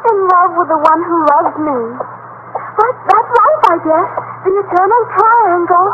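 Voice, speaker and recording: A woman playing a lovesick, melodramatic high school girl in theatrical old-time radio comedy style. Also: normal speed. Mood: tragic, sighing. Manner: theatrical, swooning sighs. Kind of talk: 1950s radio comedy acting. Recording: dull, noisy old radio recording.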